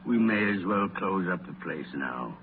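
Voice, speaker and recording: A man's voice: low voice, lively intonation, measured pace, narrow, tinny audio. An actor playing a miserly, irritable, cold-hearted old businessman in a 1930s radio drama, grumbling and contemptuous. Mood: grudging, peevish. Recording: noisy, band-limited 1930s radio recording.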